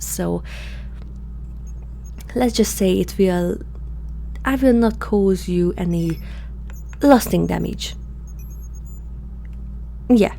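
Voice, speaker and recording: Curious alien scientist , female performer, ASMR roleplay, reassuring and formal, mellow and lowish, faint hum behind. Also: creaky. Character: curious, eager, polite alien scientist, reassuring, slightly awkward novice. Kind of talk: ASMR roleplay addressed to the listener.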